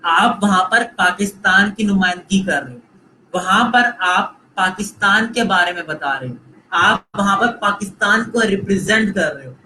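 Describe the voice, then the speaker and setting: A man's voice: high-pitched, pushed and bright, slight background noise, rough recording. A man with a Pakistani Urdu accent giving a live online lecture, coaching students and repeating points while mixing in English phrases. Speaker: online course instructor.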